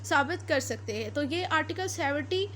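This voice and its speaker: A woman's voice: high voice, expressive, moderate pace, sharp tone, a little hiss or hum. A woman with a Pakistani accent giving an explanatory law lecture, repeating and rephrasing her points as she goes. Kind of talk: explanatory lecture mixing Urdu and English.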